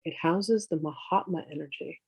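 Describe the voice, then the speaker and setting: A meditation teacher guiding in a soothing, unhurried way, with gentle instructions in drawn-out phrases. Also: mid-range voice, vocal fry, husky, dry recording, poor audio. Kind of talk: guided meditation, slow instruction.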